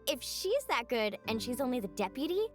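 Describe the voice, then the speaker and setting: A woman's voice: high-pitched, very animated, a little fast, soft background music. A woman playing a friendly, eager young adventurer in a video game, upbeat, casual and curious.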